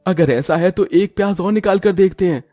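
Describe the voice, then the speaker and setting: A man voicing an excitable, good-hearted village husband and father in a cartoon story, with an exclaiming manner. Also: high-pitched, brisk, dry recording, clean studio sound, faint music behind, pitched above the performer's own voice, natural voice. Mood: hopeful.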